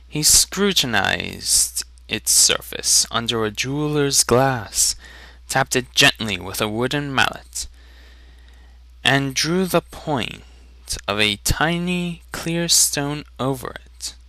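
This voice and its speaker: A man's voice: medium pitch, expressive, slow, pushed and bright, dry recording. A man reading fantasy fiction aloud as a dramatic, theatrical narration. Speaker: amateur audiobook reader.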